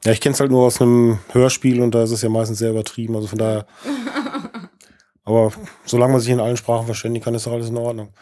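Mellow-voiced man interviewing with many fillers. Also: mid-range voice, animated, quick pace. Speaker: music interviewer. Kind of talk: casual interview questions.

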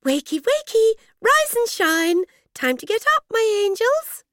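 A man's voice: very high-pitched, sing-song, unhurried, pushed and bright, no room sound, clean studio sound, pitched above the performer's own voice, exaggerated voice. A man voicing a cheery, doting mother of three boys, fussing and sing-song. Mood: bright, sing-song.

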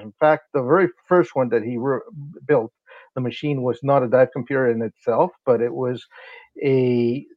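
A man's voice: mid-range voice, dry recording, muffled recording. A man from Honduras, a company's sales and marketing director, explaining things at length in a casual interview with many fillers.

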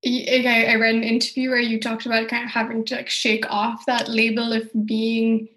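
Woman interviewer, slightly higher voice. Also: completely flat delivery, moderate pace, fairly bright tone. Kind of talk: interview questions.

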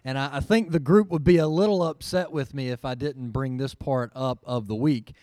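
Earnest guy sharing a testimony at church, mic sounds dry and close, middle pitch. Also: normal speed, dark tone, dry recording. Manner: earnest, storytelling, with frequent fillers. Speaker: mission team member giving a report. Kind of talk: personal testimony to a church audience.

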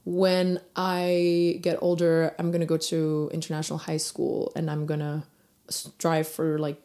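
A woman's voice: sits low, completely flat delivery, a little slow, dark tone. A young woman from Denmark, a rapper guest in a casual interview, chatty with many fillers and restarts.

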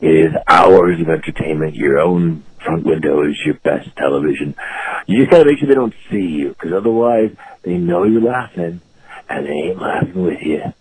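A man's voice: low-pitched, normal speed, husky, rough recording. A man from the United States telling a casual story as a caller to a podcast.

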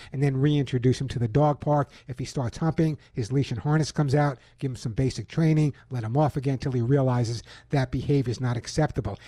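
A man's voice: medium pitch, warm tone, no echo. A man hosting a call-in pet radio show in a studio, giving emphatic, self-assured advice and reading ads. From Long Island, New York. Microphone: studio microphone.

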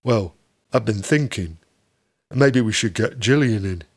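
Man voicing a gravelly, offhand Northern band manager. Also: on the low side, unhurried. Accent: Northern English. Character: casual, blunt male band manager pitching ideas.

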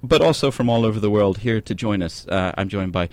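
A man's voice: lowish voice, lively intonation, brisk, no room sound. A man hosting a radio studio panel, hesitant and searching for his words. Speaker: radio show host.

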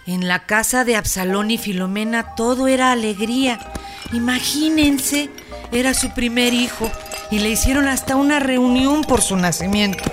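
An actress playing a folksy village midwife with a Mexican accent, telling a story colloquially in a radio soap opera. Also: female voice, a little high, normal speed, over loud music, close to an everyday voice. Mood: storytelling, earnest. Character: Doña Luz, folksy village midwife recounting an emergency.

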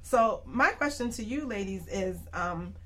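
A woman's voice: mid-range voice, animated, measured pace, fairly bright tone. A woman hosting a casual radio panel discussion in a chatty, informal manner. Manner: chatty, informal, often laughing. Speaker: radio show host. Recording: clear background noise, room ambience.

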